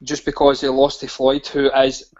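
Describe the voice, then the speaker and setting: A man's voice: mid-range voice, completely flat delivery, normal speed, a little mellow, lo-fi sound. A man, arguing bluntly and swearing often in a casual chat. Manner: blunt, swears a lot, argumentative. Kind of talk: casual chat about boxing.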